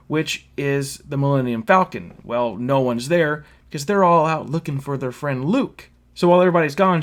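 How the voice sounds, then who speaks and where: A man casually recapping a story as a reviewer, with an animated manner and mocking asides. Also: mid-range voice. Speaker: reviewer hosting a fan recap. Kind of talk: casual spoken plot recap and commentary.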